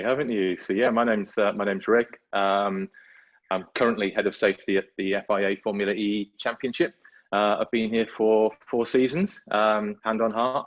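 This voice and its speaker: A very deep-voiced man in his fifties from North Wales chats as a podcast guest, wandering through his answers with plenty of ums and restarts. The sound is dull and lo-fi, like a muffled remote call. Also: fairly even intonation, moderate pace, dry recording. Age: 50s. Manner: rambling, self-deprecating, many fillers and restarts. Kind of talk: casual conversational interview.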